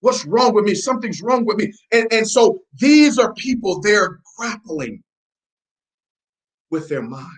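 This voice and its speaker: A man's voice: high-pitched, big pitch swings, unhurried. A man with an accent shifting between American and Nigerian, giving an emphatic, repetitive motivational network marketing training. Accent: mix of American and Nigerian. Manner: emphatic, repetitive, preachy, quizzing listeners.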